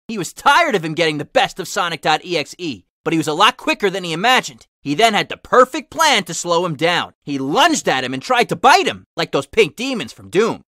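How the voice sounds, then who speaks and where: A man reading fan fiction aloud in an animated, dramatic, emphatic style with his own asides. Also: sits high, dry recording, studio quality. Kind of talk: animated storybook-style reading in a cartoon hero character voice with asides.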